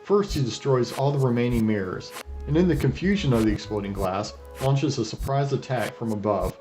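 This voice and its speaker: A man's voice: mid-range voice, lively intonation, brisk, a little mellow, faint music behind. A man narrating a casual anime retrospective as its host, with an irreverent, sarcastic delivery and profane asides. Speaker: anime reviewer and video host. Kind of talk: casual scripted retrospective narration over clips.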